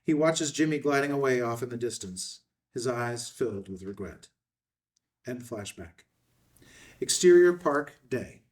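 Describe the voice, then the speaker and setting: A man's voice: mid-range voice, lively intonation, a little slow. A man reading screen directions as the narrator, in scripted narration. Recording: distant mic.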